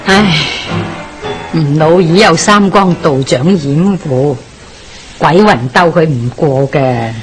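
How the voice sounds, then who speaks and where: A woman playing a cautious, doubtful elderly widow in a Hong Kong Cantonese radio drama, sighing and cautioning in a husky voice. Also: sits low, takes it slowly, music in the background, low-quality recording. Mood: resigned, doubtful.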